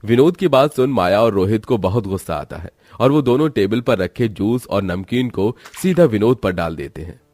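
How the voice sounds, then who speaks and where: Casting: male storyteller for scripted moral tales, fairly low pitch, close dry sound. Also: lively intonation, normal speed, hardly any pauses, darkish tone, no room sound. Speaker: narrator.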